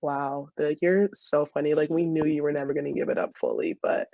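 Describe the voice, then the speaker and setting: A woman's voice: low voice, a little fast, creaky, no echo, narrow, tinny audio. A woman in her twenties with an American accent, chatting casually as an interview guest in a giggly, storytelling way. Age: 20s. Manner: giggly, animated storytelling with quoted dialogue. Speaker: podcast guest, soccer player.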